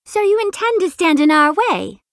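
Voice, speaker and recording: A woman's voice: very high-pitched, lively intonation, normal speed, dry recording, studio quality. A woman voicing a haughty, refined rich young lady in a fighting game, with a prim, imperious, theatrical delivery. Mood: disdainful. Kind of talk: video game fight voice clips.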